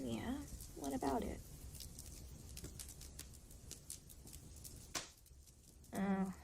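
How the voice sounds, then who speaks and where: Casting: woman as aloof demon for audio roleplay, creaky voice, dry sarcasm, exasperated and weary, faint background noise. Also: a little high, darkish tone. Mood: wry, defensive. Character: aloof, exasperated demon, reluctantly babysitting a new soul. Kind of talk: audio roleplay addressed to the listener.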